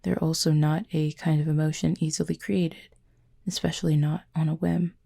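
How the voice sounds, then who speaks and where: A woman playing a gentle, formal, wounded cupid in an intimate audio roleplay, speaking softly in measured, breathy phrases. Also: sits low, little pitch movement. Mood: calm, explanatory. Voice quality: husky, breathy, creaky. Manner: measured, formal, soft-spoken with breathy pauses.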